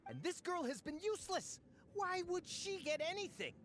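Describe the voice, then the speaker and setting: A man's voice: extremely high pitch, huge pitch swings, moderate pace, fairly bright tone, soft background music, exaggerated voice. A man playing a greedy, scornful expedition backer in a video game, with a haughty, blustering manner. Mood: indignant.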